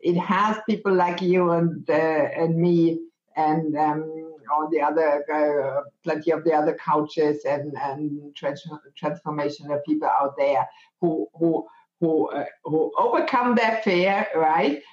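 A coach hosting an interview, talking in a halting, reflective way and searching for words. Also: mid-range voice, fairly even intonation. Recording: roomy, dull lo-fi sound.

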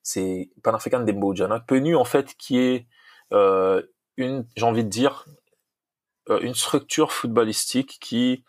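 A man's voice: medium pitch, measured pace, dry recording. A man from Congo, a football coach and podcast guest, talking earnestly with many restarts and fillers, Lingala his first language. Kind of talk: casual podcast interview.

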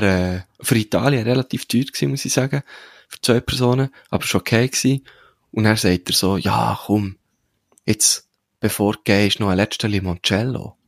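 A man's voice: on the low side, no echo, clean studio sound. A man with a Swiss German accent in a casual podcast chat, talking colloquially and anecdotally with slang and frequent tag questions. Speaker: podcast co-host.

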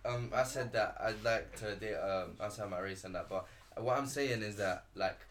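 A man's voice: fairly low pitch, normal speed, fairly bright tone. A young man from Spain and Puerto Rico speaking hesitantly, with many fillers, in a casual podcast discussion. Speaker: podcast panelist.

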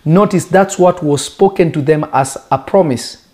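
A man who is a native Lingala speaker, preaching in a conversational teaching style with emphatic, deliberate phrasing and rhetorical questions. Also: fairly high pitch, mellow.

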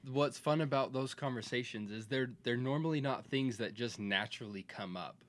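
A man's voice: medium pitch, normal speed. A man co-hosting a podcast, chatting casually with crude jokes. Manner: wisecracking, crude humor. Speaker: podcast co-host.

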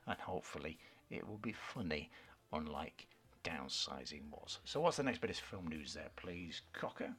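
A middle-aged man with a British accent and a deep voice co-hosts a casual film news chat. He is blunt and opinionated, with a dry line in banter. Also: very animated, moderate pace, a bit bright. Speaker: co-host of a film review show.

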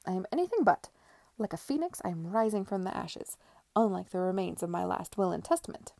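Woman as old aristocratic aunt, haughty, cutting, canny, creaky, dark-toned, period audiobook. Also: lowish voice, animated, fairly quick, no room sound. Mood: scornful.

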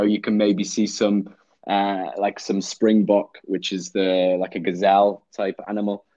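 A man's voice: lowish voice, no room sound. Young man with a dry, muffled voice. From England. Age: young adult. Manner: relaxed, halting, repeats words, dry jokes. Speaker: guest actor. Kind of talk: casual interview chat.

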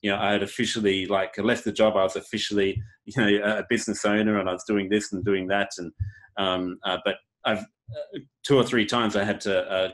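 A man hosts a relaxed interview in a low voice. He speaks haltingly, restarting phrases and filling the gaps with "um" and "uh". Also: poor audio. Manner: hesitant, many fillers and restarts. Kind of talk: casual interview chat.

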